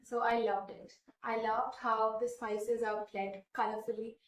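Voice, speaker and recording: A woman's voice: a little high, little pitch movement, a little slow, recorded from a distance, slight background noise. Earnest young Indian woman, echoey room. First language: Hindi. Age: 20s. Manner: earnest, hesitant with frequent fillers and restarts. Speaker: interviewee. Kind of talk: casual interview answers.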